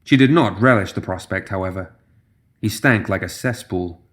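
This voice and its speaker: A man's voice: sits low, normal speed, a bit bright. A man reading scripted fantasy fiction as a dramatic audiobook narrator.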